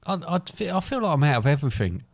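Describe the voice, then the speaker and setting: A man's voice: fairly high pitch, very animated, fast, gravelly, no room sound. Middle-aged man, dry close recording. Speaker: podcast co-host. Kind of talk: casual chat.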